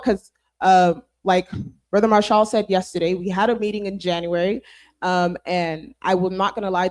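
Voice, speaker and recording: A woman's voice: fairly low pitch, a little mellow. A young woman moderating a youth panel, friendly and filled with "um" and "you know".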